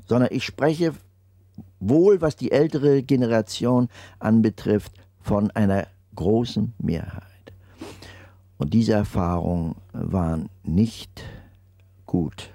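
Older guy, deep gravelly voice, slow deliberate interview answers that fade to almost a whisper, dry close sound. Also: dark tone, no room sound. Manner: slow, deliberate and emphatic, trailing off into near-whispers. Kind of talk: reflective testimony interview.

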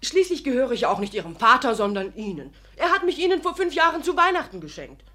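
A woman's voice: sits high, very animated, fast talker, bright, forceful tone, heavily acted. An actress playing a chatty, saucy young serf maid in a German radio drama, gushing and rambling as she talks. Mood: hurt, dramatic. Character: cheeky, chatty young serf maid, loyal and fun-loving.

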